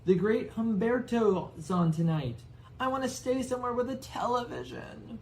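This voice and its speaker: Man putting on a high, whiny spoiled boy. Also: animated, normal speed, a little hiss or hum. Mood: sulky.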